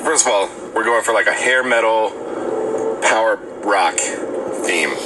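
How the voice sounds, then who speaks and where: A man, a podcast co-host, explaining a theme-song demo and belting falsetto rock shrieks. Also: measured pace, noisy background. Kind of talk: playing back a recorded song demo.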